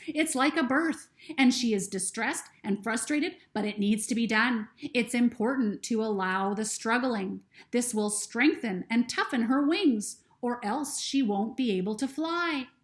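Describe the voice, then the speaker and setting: A woman's voice: a little high, a little slow, few pauses, natural voice. A woman voicing a teasing, know-it-all bird who speaks in an insistent, lecturing way. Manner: insistent, explanatory.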